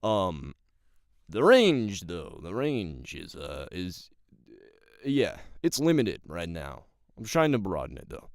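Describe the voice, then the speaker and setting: A man with a gravelly voice, an amateur voice actor chatting casually in loose, slangy talk. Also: low-pitched, huge pitch swings, slow pace, no room sound, clean studio sound. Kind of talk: casual chat.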